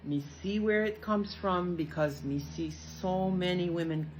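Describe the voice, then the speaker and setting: A woman's voice: lowish voice, unhurried, a little hiss or hum, low-quality recording. An older woman who grew up in Canada, casually talking to the camera in Jamaican patois. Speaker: vlogger. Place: rural Jamaica, outdoors.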